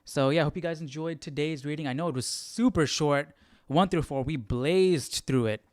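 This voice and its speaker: Clear-voiced man chatting casually on a livestream. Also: fairly high pitch, moderate pace, no echo. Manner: informal, thinking aloud, often tagging sentences with "right?". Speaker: host of a live Bible study. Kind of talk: casual, conversational livestream commentary.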